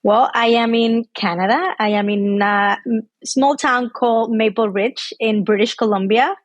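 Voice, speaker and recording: A woman's voice: on the high side, fairly even intonation, normal speed, no room sound. A woman in her thirties from Mexico, speaking English. She chats casually as a podcast guest, with lots of fillers. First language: Spanish. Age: 30s. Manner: chatty, with frequent fillers and laughs. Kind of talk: casual interview chat.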